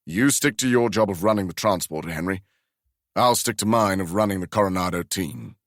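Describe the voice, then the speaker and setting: A man voicing a grave, weary racing team manager who speaks low and quietly. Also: moderate pace, no room sound, clean studio sound.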